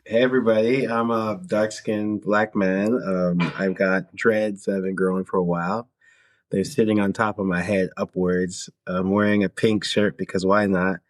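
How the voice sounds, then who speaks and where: A man from Canada, a guest musician in a podcast interview, talking in a relaxed, laid-back way with fillers and small laughs. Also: deep voice, moderate pace. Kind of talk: casual interview talk.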